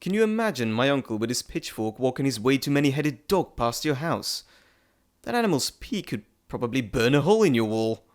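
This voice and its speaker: A man's voice: mid-range voice, sing-song, a little fast, natural voice. Playful immortal messenger god played by a man, mid-range voice, fond and teasing, intimate roleplay delivery with theatrical asides. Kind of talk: intimate audio roleplay to listener.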